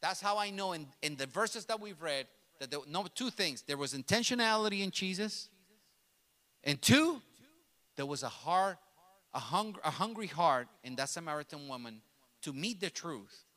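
A man's voice: fairly high pitch, animated, normal speed, bright, forceful tone. A middle-aged man whose native language is Spanish, preaching a sermon with emphatic, repeated phrases that build to passionate peaks. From grew up in New York City. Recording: long reverb of a large hall.

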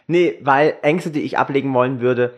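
A man's voice: mid-range voice, moderate pace, highs cut off, slight background noise. A young man from Germany chatting casually and candidly, crude and frank, mixing English slang into his German. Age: young adult. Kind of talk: casual, candid group chat.

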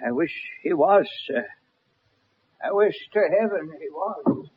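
An actor playing a humble, nervous old butler in a radio drama, deferential, folksy and hesitant. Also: male voice, a little high, lively intonation, slow, slightly rough, full character voice. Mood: anguished. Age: older. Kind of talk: 1960s radio drama acting. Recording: muffled, noisy old radio broadcast recording.

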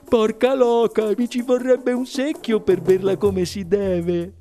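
A woman's voice: medium pitch, flat delivery, slow, dark tone, faint music behind, pitched above the performer's own voice, natural voice. A woman voicing a gluttonous, heedless fat young boy in a storybook, with a greedy, mumbling manner. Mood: greedy delight.

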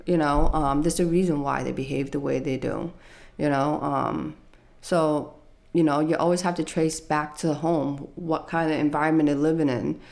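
A young adult woman telling her life story in an interview, soft-spoken and hesitant, with frequent fillers. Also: low-pitched, fairly even intonation, normal speed, vocal fry. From United States.

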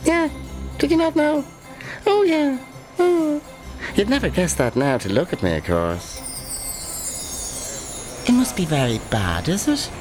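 An actor playing a gentle, chatty old Irishman who rambles and muses in an audio drama. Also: sits high, huge pitch swings, a little slow, pauses often, soft background music, exaggerated voice. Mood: gently sympathetic. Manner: rambling, musing, tag questions. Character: gentle, chatty, ghostly old fellow narrating and watching passengers.

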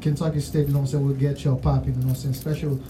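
A man's voice: medium pitch, little pitch movement, rapid-fire, recorded from a distance. A man with a Haitian accent interviewing musicians in casual, rambling chat, full of "you know what I'm saying", recorded off-mic with faint noise behind.

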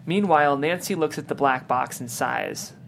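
A man's voice: a little high, moderate pace, vocal fry, fairly bright tone, slight background noise. A man hosting a script read and narrating stage directions.

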